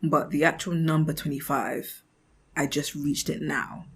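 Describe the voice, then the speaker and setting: Husky young woman, casual and chatty. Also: low-pitched, unhurried. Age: 20s. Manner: chatty and expressive, acting out imagined remarks; giggly, often laughing mid-thought. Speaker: podcast host. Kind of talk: casual conversational monologue.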